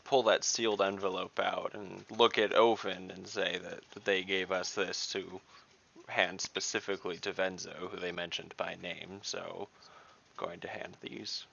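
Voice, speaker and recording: A man's voice: low-pitched, flat delivery, moderate pace, vocal fry, a bit bright, dull audio. A man chatting casually in character as a player in an online tabletop game, hesitant and thinking aloud. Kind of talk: casual in-game role-play chat. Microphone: online voice call.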